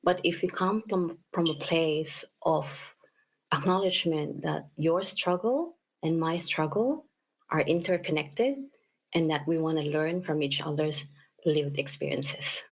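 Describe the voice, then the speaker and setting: Filipino lady on a panel, low voice, gravelly edge, earnest and measured. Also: rough recording. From Philippines. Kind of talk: panel discussion answers.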